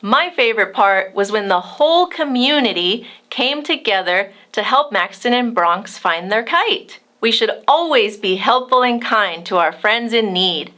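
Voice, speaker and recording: A woman hosts a children's read-aloud. Her voice is fairly high, bright and very animated, and she addresses young listeners directly with expressive narration. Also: a little slow, hardly any pauses. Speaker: children's storybook reader and host.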